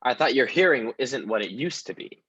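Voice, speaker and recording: A man's voice: mid-range voice, expressive, normal speed, fairly bright tone, slightly rough, lo-fi sound. A man playing a straight-laced detective. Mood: earnest. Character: straight-laced male small-town detective.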